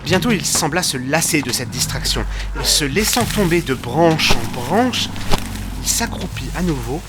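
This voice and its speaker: A man playing an earnest, wry detective's companion who narrates an audio drama in measured, literary storytelling. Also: on the high side, expressive, heavy background noise. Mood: suspenseful, wry. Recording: music under the voice. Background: music.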